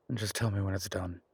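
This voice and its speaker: A man performing a gruff incubus investigator for an audio roleplay. The voice is deep and rough-edged, recorded close and dry. He talks in terse, commanding, everyday phrases, protective of his companion. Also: no echo, heavily acted. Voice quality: low, husky. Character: gruff, urgent male incubus demon investigator, protective, wryly friendly. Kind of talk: immersive audio roleplay acting.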